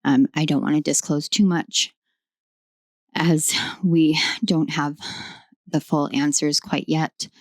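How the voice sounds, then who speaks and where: A hoarse young woman giving a casual tarot reading at home, rambling and affectionate, with many ums. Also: low voice, steady tone, takes it slowly, no echo. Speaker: tarot reader. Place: at home in a room.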